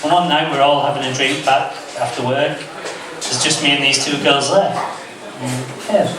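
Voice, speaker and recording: A man's voice: mid-range voice, fairly even intonation, normal speed, noisy background. A man in his thirties doing a self-deprecating stand-up set at a comedy club, telling a story with frequent restarts. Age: 30s. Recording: loud echoey club from a far mic. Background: audience laughter.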